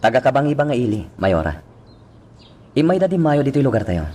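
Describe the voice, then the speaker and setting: A man's voice: medium pitch, sing-song, fairly quick, dark tone. A man acting an official, authoritative police chief in a radio drama. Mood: formal, reporting. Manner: formal, authoritative.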